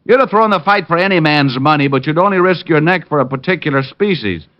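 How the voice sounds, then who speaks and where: Cocky private eye, acted by a man, breezy, wisecracking, lively pitch, dull vintage radio recording. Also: fast talker, natural voice. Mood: wry, knowing. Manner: breezy, wry. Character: cocky, wisecracking, flirtatious male private detective. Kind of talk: old-time radio detective drama acting.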